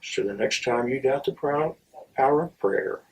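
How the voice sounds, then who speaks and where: A middle-aged or older man telling a personal story in a theatrical, wry way, with dramatic pauses and halting asides. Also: medium pitch, a little hiss or hum. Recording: distant microphone.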